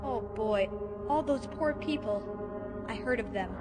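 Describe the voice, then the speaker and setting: A woman voicing an earnest, excitable young boy with an urgent manner in a dramatized audiobook. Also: a little high, unhurried, natural voice. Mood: hushed awe. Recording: reverberant hall, loud music underneath.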